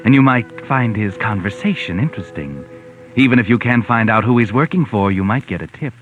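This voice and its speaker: An actor playing a sardonic, all-knowing narrator of a 1940s radio mystery, mocking and ominous, with theatrical drawn-out phrasing. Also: male voice, fairly low pitch, expressive, natural voice. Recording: dull vintage radio recording, music behind. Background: music.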